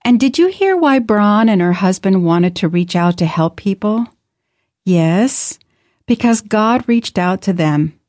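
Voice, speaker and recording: A woman's voice: mid-range voice, animated, measured pace, darkish tone, dry recording, studio quality. A woman co-presenting, talking in a friendly way and asking the listener questions.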